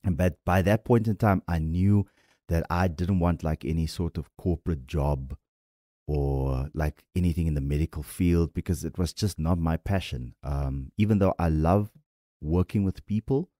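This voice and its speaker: A man with a South African accent, a podcast guest telling his story in a relaxed way with many fillers. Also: low-pitched, moderate pace, dry recording, studio quality. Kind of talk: casual interview talk.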